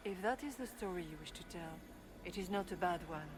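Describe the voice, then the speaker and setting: An actress voicing a gentle female spirit who speaks formally and sadly. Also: on the low side, expressive, a little fast, close to an everyday voice. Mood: sorrowful. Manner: soft, formal, sorrowful. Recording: game audio over loud music.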